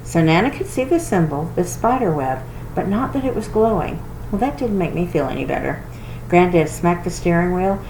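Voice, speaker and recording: A woman reading a storybook aloud as narrator for a library, with expressive delivery. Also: on the low side, normal speed. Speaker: reader for a library read-aloud. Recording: roomy echo with clear noise.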